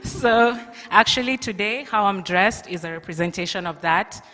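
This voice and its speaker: A woman's voice: mid-range voice, moderate pace, bright, forceful tone. A woman from Kenya, a student, giving an upbeat conference presentation. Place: conference hall. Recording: long hall reverb.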